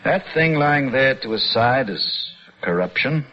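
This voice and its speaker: An actor playing a sadistic, pompous chess-obsessed villain in an old-time radio drama, with mocking, precise, theatrical diction. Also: male voice, mid-range voice, lively intonation, a little slow, natural voice. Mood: smug, contemptuous. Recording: muffled old radio recording with music.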